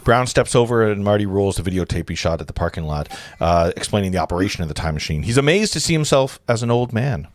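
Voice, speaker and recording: A man's voice: fairly low pitch, animated, quick pace, hardly any pauses, dry recording. A man hosting an informal table read, narrating stage directions with chatty, conversational asides. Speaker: host reading stage directions.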